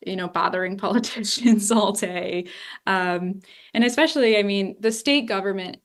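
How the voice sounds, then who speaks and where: A woman in her twenties from Northeast Ohio, a political journalist speaking candidly and animatedly in a lecture room, with many asides like "you know". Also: mid-range voice. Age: 20s. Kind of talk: public talk answering questions.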